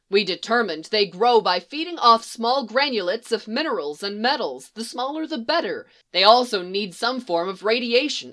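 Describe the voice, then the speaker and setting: A woman's voice: on the high side, pitched above the performer's own voice. A woman doing a rambling nervous scientist. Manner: rushed, excited explaining. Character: nervous male scientist, talkative and eager.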